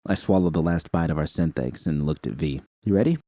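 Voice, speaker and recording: Deep, dark-voiced man reading a story. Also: fairly quick, dry recording, studio quality. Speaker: fan fiction reader. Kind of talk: first-person story narration.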